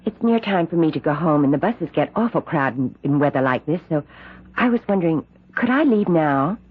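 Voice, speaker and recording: A woman's voice: sits low, expressive, a little fast, slightly rough. An actress playing a polite, hesitant female secretary who defers to her boss, in 1970s radio drama style. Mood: shy, polite. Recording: dull, faintly noisy old radio recording.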